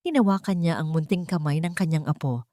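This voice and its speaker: Low-voiced woman, dry dramatic story narration. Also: warm tone, dry recording. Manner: earnest, measured, emotive delivery. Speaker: audiobook narrator.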